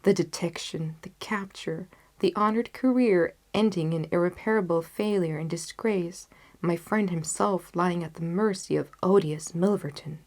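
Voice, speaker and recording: A woman reading classic fiction aloud as an audiobook narrator, with measured, dramatic storytelling. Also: on the low side, a little mellow.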